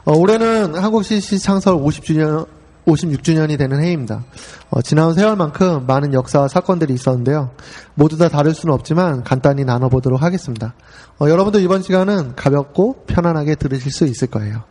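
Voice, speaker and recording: A man in his forties hosting a relaxed talk show, chatty and full of easy fillers. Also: on the high side, quick pace, long reverb tail. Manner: chatty, frequent filler "ye", laughs easily. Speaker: host. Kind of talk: casual podcast talk.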